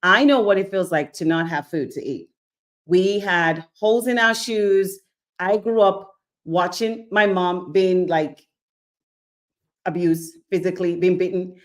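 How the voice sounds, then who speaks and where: A woman's voice: lowish voice, a little slow. A woman from Nigeria giving a sermon-style Bible teaching, asking rhetorical questions, acting out little scenes and checking in with "okay?". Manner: animated, keeps checking in with "okay?".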